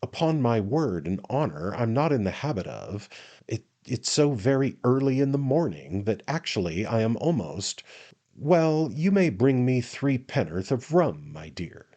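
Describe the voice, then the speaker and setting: A man voicing a pompous, falsely modest attorney who likes a drink, halting and interrupting himself in a comic storybook. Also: medium pitch, very animated, mellow, pitched above the performer's own voice. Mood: flustered, coy. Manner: pompous, halting, self-interrupting, grandly addressing company. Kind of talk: dramatised audiobook reading of a comic Victorian novel.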